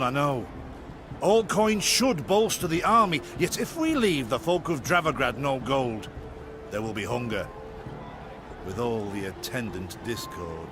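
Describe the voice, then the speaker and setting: An actor playing an earnest, frank royal adjutant in a game, clipped and deferential. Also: male voice, medium pitch, close to an everyday voice. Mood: pleading, grave. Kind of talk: dramatic scripted game dialogue. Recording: music under the voice.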